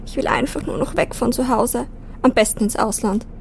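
A woman plays a troubled teenage girl in an amateur radio play, guarded and vulnerable. The voice is on the high side, with faint noise in the recording. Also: moderate pace, vocal fry. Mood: despairing. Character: desperate, guarded teenage girl under eighteen, dreaming of studying. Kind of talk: amateur audio drama acting.

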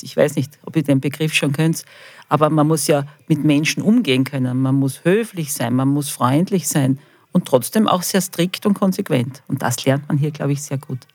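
A woman's voice: sits low, no echo. A middle-aged woman with an Austrian accent gives enthusiastic, colloquial interview answers to children as a school official. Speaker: school quality manager, interview guest.

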